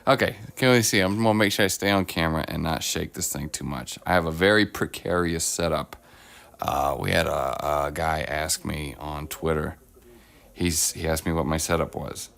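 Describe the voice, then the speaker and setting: Gravelly deep-voiced man chatting upbeat. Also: normal speed, a bit bright. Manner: rambling, upbeat, self-deprecating. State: voice deepened while getting over an illness. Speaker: streamer host. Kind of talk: casual livestream chat while painting miniatures. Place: home, at a table. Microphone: phone on a clamp arm.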